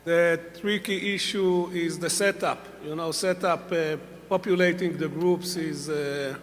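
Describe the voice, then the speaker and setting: High-voiced accented man, dry and deliberate. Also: steady tone, long reverb tail. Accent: non-native English. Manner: deliberate, many pauses, dry humor. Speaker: panel judge. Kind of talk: panel commentary.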